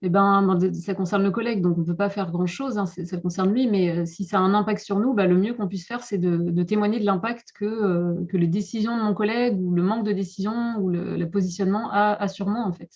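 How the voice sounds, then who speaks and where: A woman's voice: medium pitch, fast, hardly any pauses, a little mellow. A woman answering questions in a webinar, thoughtful and hesitant, often restarting her sentences over a video call. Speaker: guest speaker. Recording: dull lo-fi call audio.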